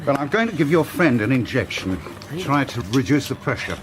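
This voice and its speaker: Stern male GP for radio drama, acted by a man: medium-pitched, very animated, educated wording, exasperated, faint background noise. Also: a little fast, natural voice. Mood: urgent, commanding. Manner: hesitant fillers between firm orders, formal wording. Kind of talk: naturalistic radio drama acting.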